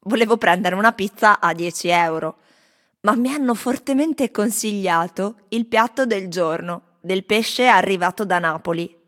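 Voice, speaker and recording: An actress voicing disgruntled tourists in Rome, in a theatrical radio recitation of their testimonies. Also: female voice, mid-range voice, moderate pace, fairly bright tone, lightly acted. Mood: reflective. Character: disgruntled tourists in Rome, airing complaints and impressions.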